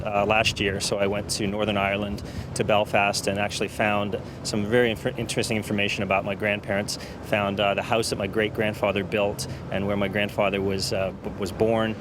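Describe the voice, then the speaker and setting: A man from Canada answers in a low, fairly flat voice, hesitating a little. Clear street noise surrounds him outdoors. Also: fairly quick. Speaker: street interviewee. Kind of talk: considered interview answers. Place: outdoors in a city.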